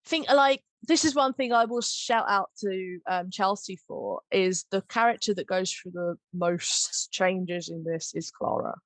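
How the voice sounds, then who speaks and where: A woman's voice: medium pitch, a little slow, few pauses, no room sound, poor audio. A woman hosting a casual behind-the-scenes chat in a giggly manner. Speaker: podcast host, audio drama maker.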